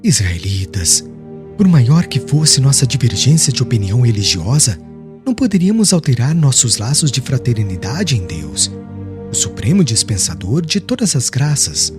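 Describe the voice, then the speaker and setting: A man's voice: mid-range voice, sing-song, moderate pace, over music, natural voice. A man voicing a serene, devout young preacher in an audiobook, eloquent and formal, declaiming with solemn firmness. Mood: solemn exhortation.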